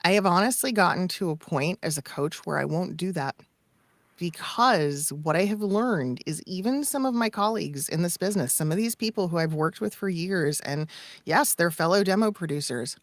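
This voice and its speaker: A woman's voice: fairly low pitch, lively intonation, normal speed, dry recording. A middle-aged woman talking candidly and emphatically as a coach in casual podcast conversation, repeating phrases for effect. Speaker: voice acting coach and demo producer.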